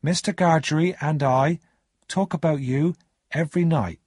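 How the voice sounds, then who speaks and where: Guy doing a polite humble young woman's letter in a storybook, raised husky voice, slow and gentle. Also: fairly high pitch, no echo, studio quality, pitched above the performer's own voice. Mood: polite, earnest.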